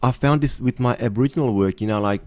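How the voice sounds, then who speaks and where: A man's voice: mid-range voice, fast talker, a little mellow, no echo. A man telling personal anecdotes in an interview, speaking emphatically and repeating phrases for stress. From Australia. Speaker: podcast guest, motivational speaker.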